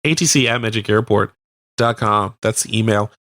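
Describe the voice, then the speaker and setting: A man's voice: fairly low pitch, moderate pace, a bit bright, no room sound, clean studio sound. A man with a Canadian accent co-hosting a casual local radio news chat in an informal, slightly hesitant and lightly joking way. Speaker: radio co-host.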